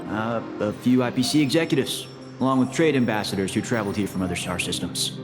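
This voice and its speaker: A man's voice: fairly low pitch, fast, lightly acted. An actor playing a polished, courteous male corporate assistant who hosts a summit, speaking formally and with composure. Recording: game voice-over with music.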